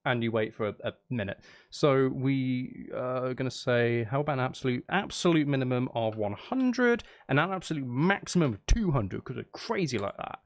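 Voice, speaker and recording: A man's voice: mid-range voice, expressive, moderate pace. A man chatting casually on a live stream as he makes music, geeky and playful, thinking aloud in a rambling way.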